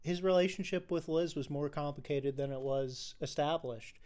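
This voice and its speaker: A man co-hosting a film podcast in casual discussion, talking haltingly and often repeating his phrases. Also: on the high side, a little mellow, smooth.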